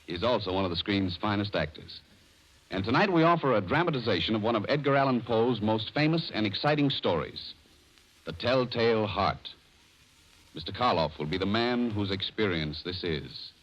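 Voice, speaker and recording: A man's voice: fairly low pitch, lively intonation, moderate pace, fairly bright tone. A man announcing in scripted 1940s radio style, formal and dramatic as he introduces a play. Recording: muffled vintage radio recording.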